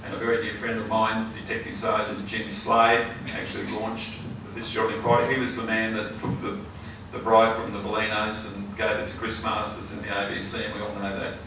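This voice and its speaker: An older Australian man with a hoarse, failing voice, giving an earnest campaign speech full of ums and uhs. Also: fairly low pitch, completely flat delivery, fairly quick, few pauses. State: hoarse, losing his voice. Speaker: election candidate. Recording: echoey hall, mic far off, noisy.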